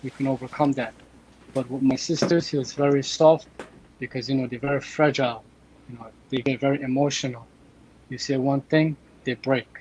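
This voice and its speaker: A man's voice: medium pitch, steady tone, normal speed, a little mellow. A man in his thirties from Afghanistan, a guest telling earnest stories over a remote call. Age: 30s. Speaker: podcast guest. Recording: dull remote call audio with faint noise.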